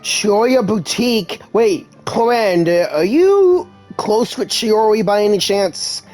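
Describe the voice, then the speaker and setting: A woman's voice: mid-range voice, animated, slow, a little mellow, faint music behind. A woman doing casual, chatty video game playthrough commentary, reacting aloud as she plays. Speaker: gamer playing and commenting.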